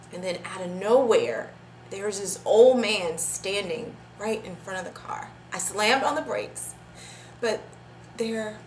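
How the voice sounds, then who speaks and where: An actress playing a guilt-ridden woman confessing a long-held secret in a dramatic monologue, halting and repeating herself. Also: mid-range voice. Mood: hesitant, shaken. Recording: echoey room with clear background noise.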